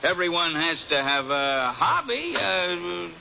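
Casting: chummy barman, slightly high, very bright gravelly voice, slow talk, muffled radio sound. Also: very animated, exaggerated voice. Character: chummy, deferential male bartender. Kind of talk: old-time radio drama acting. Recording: dull, hissy old radio recording.

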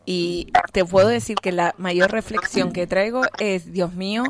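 A woman's voice: on the low side, fairly even intonation, a little slow, heavy background noise. A woman on a radio panel giving her view in long, emphatic runs. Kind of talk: opinionated radio chat.

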